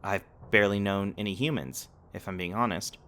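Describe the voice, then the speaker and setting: A man's voice: on the low side, fairly quick, fairly bright tone, a little hiss or hum, lightly acted. A man playing a polite, curious companion robot in an ASMR roleplay, speaking formally and precisely with a literal-minded courtesy. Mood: curious, thoughtful. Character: polite, curious, eager-to-please male humanoid companion robot. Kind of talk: ASMR roleplay audio drama acting.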